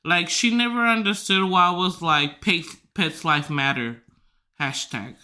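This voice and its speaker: Bright, loud-voiced man telling stories. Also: high voice, a little slow. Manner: loud, swears freely, filler-heavy rambling. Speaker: podcast host. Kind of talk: casual storytelling chat.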